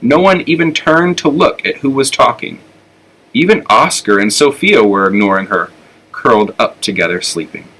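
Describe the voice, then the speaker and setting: Male storybook reader, American accent, bright and clear-edged tone, telling a children's tale. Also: mid-range voice, expressive, moderate pace, poor audio. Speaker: elementary school teacher reading aloud.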